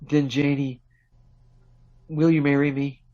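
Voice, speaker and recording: A man's voice: on the high side, flat delivery, takes it slowly, dark tone. A man playing an eager, lovestruck young suitor in 1940s radio drama style, earnest, pleading and stammering. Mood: earnest, wooing. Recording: dull lo-fi remote call audio.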